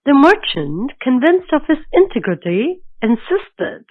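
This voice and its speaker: A woman's voice: sits high, sing-song, unhurried. A woman narrating a scripted children's moral story as the storyteller. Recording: narrow, phone-like lo-fi sound.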